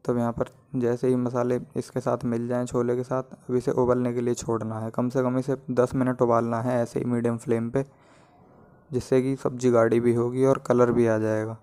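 A man's voice: medium pitch, flat delivery, moderate pace, mellow, clear, resonant tone. A man presenting a recipe as a home cook, explaining it step by step in a plain instructional voice-over. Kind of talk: step-by-step cooking tutorial voice-over.

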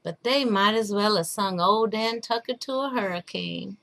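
A woman's voice: mid-range voice, normal speed, creaky, fairly bright tone. A woman voicing a patient, wry old man telling a folktale in drawling, folksy dialect. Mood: wry storytelling.

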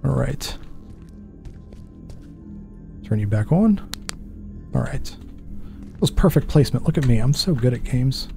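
A man in his thirties with a headset, chatting and joking to himself through a horror game, mocking his own mistakes and jumping up in pitch when something startles him. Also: animated, measured pace, creaky, pauses often, warm tone, soft background music. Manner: chatty, self-mocking, jumpy pitch swings. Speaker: gaming channel host. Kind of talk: horror game let's play commentary. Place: small dark room at a desk. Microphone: headset with desk mic.

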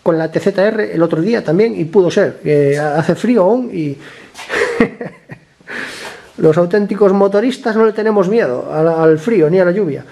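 A man with a slightly hoarse voice from a cold, talking casually while he works on a motorcycle in a garage, explaining each step and often checking in with his viewers. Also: high-pitched, lively intonation, normal speed, mellow. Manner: chatty, explains step by step, keeps asking ¿vale?. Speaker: motorcycle mechanic vlogger.

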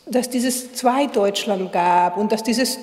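A woman in her forties, a Hungarian author speaking German with a Hungarian accent, talking haltingly about her book at a festival. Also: medium pitch, darkish tone. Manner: hesitant, searching for words. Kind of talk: literary reading and festival interview. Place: gallery room at a literature festival. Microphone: table mic on a stand. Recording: echoey hall.

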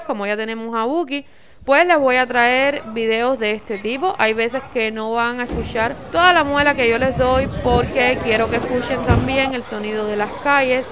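A woman's voice: on the high side, a bit bright. A young woman with a Cuban Spanish accent, narrating her vlog in a chatty, informal way. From Cuba. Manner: chatty and informal, sends kisses to viewers. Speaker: vlogger.

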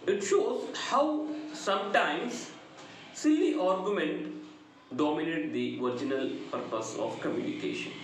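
A middle-aged man with a South Indian accent giving an explanatory lecture in a deliberate, emphatic, sing-song delivery. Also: on the high side. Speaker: English lecturer. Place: small indoor room. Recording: very echoey room, faint noise.

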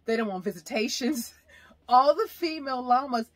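Woman telling a lively story, husky voice. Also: high voice, moderate pace, no echo. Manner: animated storyteller, laughs often. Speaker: vlogger. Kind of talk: casual storytelling chat. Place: inside a parked RV by a window. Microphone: camera at arm's length.

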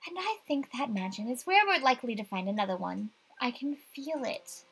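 Woman as earnest, jittery young game heroine, bright-voiced. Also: on the high side, animated, a little fast, breathy edge, heavily acted. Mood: nervous, insistent.

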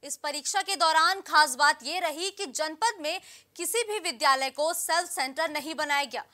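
A young woman anchors a news bulletin in a high, bright and forceful voice. Her delivery is formal and emphatic, reading from a script. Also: normal speed. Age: young adult.

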